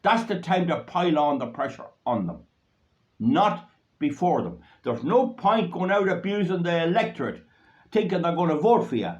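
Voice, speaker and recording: Blunt Irish man, fairly high voice. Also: big pitch swings, normal speed. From Ireland. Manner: blunt, rambling, forceful. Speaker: political activist. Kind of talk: political speech to supporters. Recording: dull lo-fi recording.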